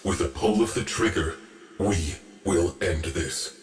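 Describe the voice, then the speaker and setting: Sci-fi game ship AI: stern war veteran, deep register, unhurried, terse and grave. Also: male voice. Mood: grave, brooding. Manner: clipped, terse, solemn military delivery. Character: stern, weary veteran military ship AI, haunted by loss. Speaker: mission control and ship intelligence. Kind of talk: scripted video game mission briefing.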